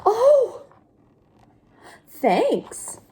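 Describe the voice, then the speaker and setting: A sweet little storybook duckling, acted out by a woman, with a very high, lilting voice. It speaks slowly and politely, innocent and gentle in every phrase. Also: huge pitch swings, slight background noise, exaggerated voice. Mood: cheerful asking. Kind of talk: children's picture book read-aloud.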